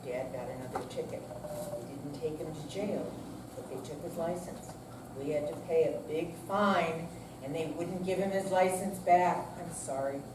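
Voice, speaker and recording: A woman's voice: sits low, moderate pace, close to an everyday voice. This is an older woman reading the role of an elderly mother, heard from a distance in a noisy, echoing hall. She is flustered and plainly honest, performing in a simple stage reading. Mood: embarrassed. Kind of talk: staged readers theater reading.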